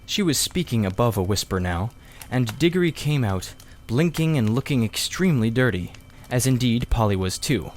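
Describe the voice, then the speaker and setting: A man reading a children's story aloud as expressive scripted narration. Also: mid-range voice, moderate pace, slight background noise. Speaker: audiobook reader.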